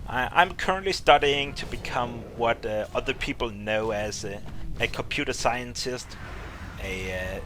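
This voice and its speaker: A young Danish man in his twenties chats through viewer questions in a casual vlog, his voice bright and forward. He wanders through his answers with plenty of ums and restarts. A faint noise floor sits under the recording. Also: mid-range voice. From Denmark. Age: 20s. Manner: rambling, frequent fillers and restarts. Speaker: YouTuber.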